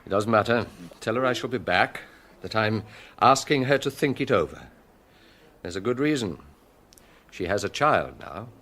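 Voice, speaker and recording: A man playing a hard-edged police detective in a radio drama, questioning in an insistent, sardonic, deliberate way. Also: on the low side, expressive, fairly bright tone, a little hiss or hum. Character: relentless, hard-edged male police detective interrogating a suspect.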